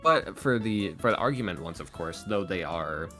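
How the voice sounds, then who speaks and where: A man who makes roleplay videos, talking casually as a podcast guest and acting out quotes as he tells stories in an animated way. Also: low voice, normal speed, soft background music. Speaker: interview guest, ASMR roleplay video creator.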